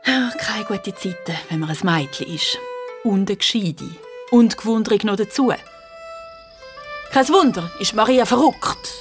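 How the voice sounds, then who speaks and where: A woman's voice: mid-range voice, animated, unhurried. A woman with a Swiss German accent narrates a children's audio drama in a vivid, conversational storytelling style. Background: music.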